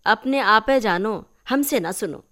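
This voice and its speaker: A woman voicing a teasing, gossipy village aunt in a story, with rural dialect and drawn-out emphatic phrasing. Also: on the high side, expressive, a bit bright, pitched above the performer's own voice. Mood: disapproving, secretive.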